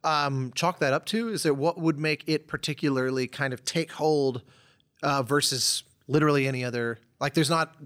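A man's voice: on the high side, moderate pace, a bit bright, smooth. A man hosting a podcast, interviewing casually in person with a wordy, digressive, wry way of talking. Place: in person at a table, at a conference.

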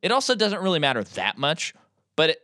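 A man's voice: on the high side, huge pitch swings, pushed and bright, no room sound, clean studio sound. A man co-hosting a podcast, giving opinionated, joking movie takes in casual chat. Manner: opinionated, quick to joke about his own hot takes. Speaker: podcast co-host.

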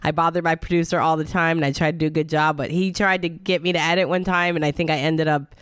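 Low-voiced woman, lively and laughing. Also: fast talker, clear, resonant tone, no room sound, clean studio sound. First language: Italian. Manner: animated, self-mocking, laughs often. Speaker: podcast and radio host. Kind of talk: casual podcast chat.

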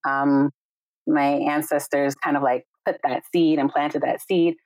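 Low-voiced woman on a call. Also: steady tone, unhurried, dry recording, rough recording. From Jamaica. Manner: warm, reflective, frequent "right?" and "like". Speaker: guest, yoga and meditation teacher. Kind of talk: casual conversation on a video call. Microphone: video call.

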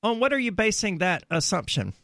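A man's voice: high-pitched, big pitch swings, fairly quick, creaky, sharp tone, dry recording, clean studio sound. A man hosting a call-in talk radio show, blunt and opinionated with a sarcastic edge.

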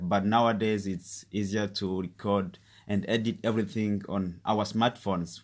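A young man from Rwanda with a Rwandan accent, Kinyarwanda his first language, speaking as a podcast guest in a hesitant way, with many pauses and fillers. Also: fairly low pitch. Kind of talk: casual interview chat.

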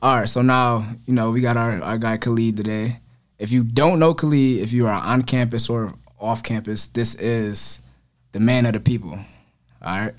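A young man co-hosts a campus podcast in a loose, laid-back way, dropping slang and "bro" into nearly every line. His voice sits at an ordinary middle pitch. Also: fairly even intonation, moderate pace. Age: young adult. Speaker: podcast co-host. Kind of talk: casual podcast chat.

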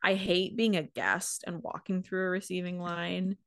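A woman's voice: on the low side, steady tone, moderate pace, fairly bright tone, clean tone. An American woman co-hosting a podcast, giving her opinions in a wry, casual, conversational way. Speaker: podcast co-host. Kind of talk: casual chat.

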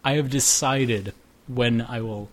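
A man's voice: medium pitch, steady tone, takes it slowly. Playful young man hosting a podcast. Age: 20s. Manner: joking, rambling, playful. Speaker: podcast host. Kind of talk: casual group chat.

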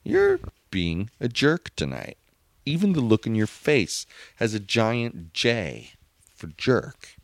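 A man's voice: mid-range voice, wildly swooping pitch, slow pace, vocal fry. A man co-hosting a casual podcast, arguing his points emphatically and bickering with his co-host. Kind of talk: casual opinionated chat.

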